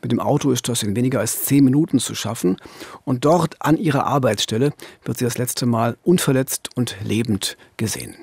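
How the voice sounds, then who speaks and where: A man's voice: medium pitch, fairly quick, gravelly, darkish tone, slightly rough, dry recording. A man in his fifties narrating a crime case as a reporter in scripted dialogue at a studio microphone. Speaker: true-crime reporter and podcast co-host. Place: small dark studio. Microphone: studio boom microphone, headphones.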